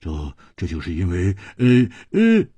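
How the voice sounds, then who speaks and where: A man performs a brash storybook rascal who likes to drink and tease. He has a rough voice that swings widely, full of mutters, stammers and drawn-out interjections. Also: fairly low pitch, very animated, a little mellow, no echo. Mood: apologetic. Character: brash, teasing male drinker, a blustering rascal.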